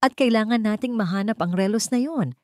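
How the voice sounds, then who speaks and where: A woman's voice: on the high side, no echo, clean studio sound, pitched above the performer's own voice, natural voice. A woman voicing a determined, protective doctor in a dramatic story, speaking firmly and earnestly. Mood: resolute. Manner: firm, earnest, deliberate.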